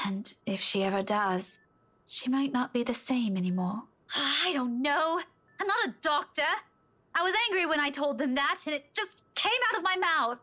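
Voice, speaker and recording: An actress playing a weary, distraught young woman in a visual novel, speaking in a halting, emotional way. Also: sits high, pushed and bright, full character voice. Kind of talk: visual novel voice acting, dramatic.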